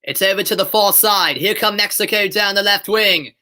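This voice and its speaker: Young American male, amateur play-by-play caller, bright tense tone, excitable on chances, webcam in a bedroom. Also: high voice, moderate pace. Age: young adult. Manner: excitable build-ups on shots, chatty asides to viewers. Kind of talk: live play-by-play commentary mixed with chat. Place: bedroom at home.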